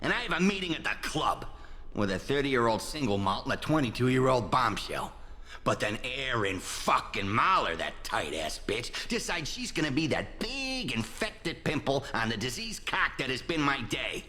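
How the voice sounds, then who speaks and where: A man's voice: medium pitch, very animated, normal speed, bright, forceful tone. A slick, corrupt American politician for a video game. He is pompous and foul-mouthed, swinging widely up and down as he brags and gripes. The voice rings in a long reverb. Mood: exasperated, ranting. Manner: pompous, swaggering, profane. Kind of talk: video game character acting.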